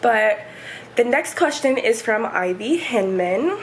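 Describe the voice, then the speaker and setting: Young woman vlogger, hoarse and stuffy, rambling home chat, slightly high voice, faint room hum. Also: expressive, takes it slowly, rough recording. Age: 20s. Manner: rambling, chatty, many fillers. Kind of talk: casual home vlog chat. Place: home, indoors. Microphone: camera set up nearby.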